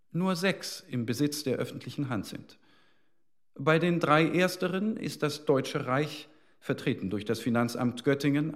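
A man's voice: medium pitch, lightly acted. Radio documentary: male actor as a factual, sober municipal official, formal reading, mid-range voice. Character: sober post-war municipal legal officer reporting findings.

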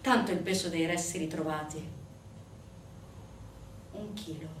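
A woman giving a scripted theatrical narration in a grave, measured manner with dramatic pauses. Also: low-pitched, a little hiss or hum. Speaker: stage actress narrating. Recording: echoey room, distant mic, music.

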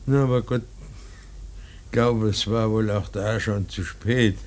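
An actor playing a grieving, weary old father in a German radio play, speaking in a halting, deliberate and gently stern way. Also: on the low side, fairly even intonation, a little hiss or hum, close to an everyday voice. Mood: grave, resigned.

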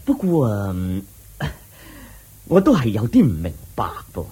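A man voicing an earnest official in a radio drama, asking a teacher questions deferentially in a husky voice. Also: medium pitch, wildly swooping pitch, unhurried, dark tone, exaggerated voice. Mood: inquisitive. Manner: deferential, inquisitive. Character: earnest, respectful male official of a small kingdom.

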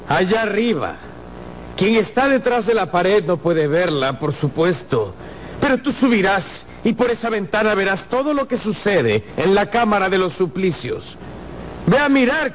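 A possessive, obsessive captor in a radio drama. His high voice swings widely as he coaxes and taunts with mock tenderness. There is faint noise behind him. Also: sing-song, unhurried. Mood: sly, taunting. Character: menacing, possessive masked male captor, obsessive and sly.

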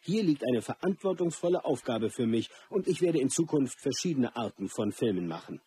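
An actor playing a proud, self-assured martial artist and film star in a radio play, speaking earnestly and deliberately. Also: male voice, mid-range voice, very animated, dark tone, husky. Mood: resolute. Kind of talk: scripted monologue in a radio play. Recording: music behind the voice.

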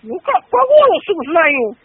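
A shrill, indignant older woman cursing down a tinny phone line, her very high voice scolding without pause. The sound is dry and squeezed into a narrow telephone band. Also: big pitch swings, no room sound. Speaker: prank call target. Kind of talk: phone argument.

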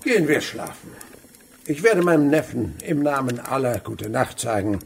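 An actor playing a gruff, sly, miserly older husband and uncle in a radio drama, grumbling and sarcastic. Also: male voice, mid-range voice, very animated, moderate pace, slight background noise. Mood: feigned kindness. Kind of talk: German radio drama acting.

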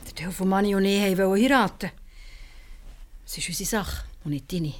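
An older actress with a Swiss accent playing a proud, unsentimental village widow in a radio drama, speaking in terse statements. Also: female voice, medium pitch, a little fast, lightly acted. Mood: defensive. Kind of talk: radio drama acting in Swiss-coloured German.

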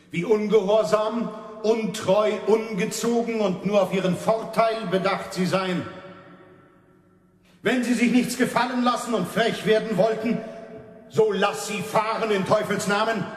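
An actor playing a stern preacher in a radio play, declaiming a sermon that demands obedience. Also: male voice, high voice. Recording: very echoey, long hall reverb.

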